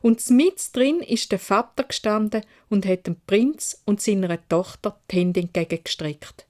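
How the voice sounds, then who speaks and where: A woman with a Swiss German accent narrating a children's fairy tale in a measured, expressive way. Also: medium pitch. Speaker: storyteller.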